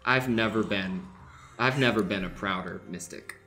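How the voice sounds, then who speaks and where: A man's voice: on the low side, normal speed, bright, forceful tone. A man playing a pompous, bullying elder-brother mystic who preaches emphatically in an improvised comic radio play. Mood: proud. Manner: preachy, emphatic, self-important. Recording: music under the voice.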